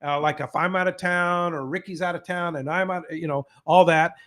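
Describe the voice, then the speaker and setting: A man co-hosting a radio talk show with a soft voice, talking in a relaxed, rambling way. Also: a little high, moderate pace. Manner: relaxed, rambling, many fillers. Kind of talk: casual radio banter. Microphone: studio microphone.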